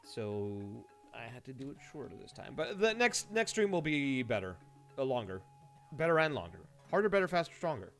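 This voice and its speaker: A man's voice: medium pitch, big pitch swings, brisk, fairly bright tone. A man doing casual live game-stream commentary, rambling playfully with dry asides. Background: music.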